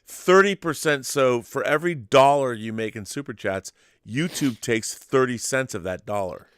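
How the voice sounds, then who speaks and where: A man's voice: medium pitch, lively intonation, moderate pace, smooth, no room sound. Man chatting, close dry sound. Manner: persuasive, joking, informal with swearing. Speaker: live stream host. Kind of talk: casual late-night chat.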